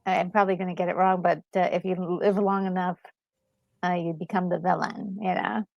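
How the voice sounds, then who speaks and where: A woman's voice: on the low side, flat delivery, brisk, gravelly, no echo, highs cut off. A woman co-hosting a game playthrough, musing aloud in casual, hesitant reaction commentary. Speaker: gaming co-host. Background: game music.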